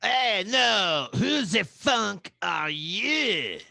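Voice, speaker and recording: Very gravelly French garbage-can puppet. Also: male voice, high-pitched, big pitch swings, sharp tone, no echo, clean studio sound, exaggerated voice. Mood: indignant. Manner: halting, searching for words. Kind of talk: live play reading, puppet character voice.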